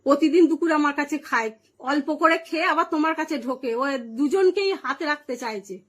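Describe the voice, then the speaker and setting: A woman with a very high voice holds her ground in a heated phone argument. She sounds defiant and aggrieved, answering firmly. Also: moderate pace. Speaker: wife in a phone confrontation.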